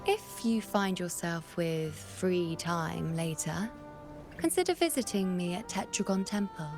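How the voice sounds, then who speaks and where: A woman's voice: fairly low pitch, expressive, a little slow, music in the background. A woman voicing a vain, haughty young female gladiator in a video game, boastful and theatrical. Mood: smug, teasing.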